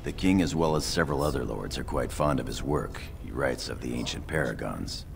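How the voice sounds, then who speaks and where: An actor playing a gruff but courteous dwarf retainer in a fantasy game, speaking with measured deference. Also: male voice, rumbling low, lively intonation, music in the background, exaggerated voice. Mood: measured, informative. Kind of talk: fantasy video game dialogue.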